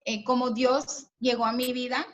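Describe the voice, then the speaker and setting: A woman in her forties speaks in a slightly high voice, warm and talkative, with an easy laugh. Her voice comes through a muffled, lo-fi video call. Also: little pitch movement, normal speed. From Mexico. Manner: warm, chatty, laughs easily. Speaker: invited speaker. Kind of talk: casual virtual interview. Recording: dull lo-fi video call audio.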